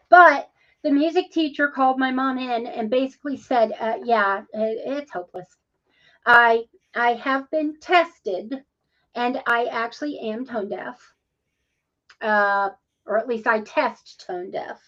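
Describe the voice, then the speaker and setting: Female co-host, rambling and chatty, slightly high voice, muffled sound. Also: a little slow, fairly bright tone. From Ohio, USA. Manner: chatty, rambling, with many ums. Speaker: podcast co-host. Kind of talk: casual interview conversation.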